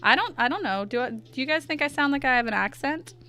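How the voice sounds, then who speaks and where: A woman with a stuffy nose, chatting casually with her viewers in quick asides while she draws on stream. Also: high-pitched, expressive, pushed and bright, faint music behind. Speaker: art streamer. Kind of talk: casual chat while drawing.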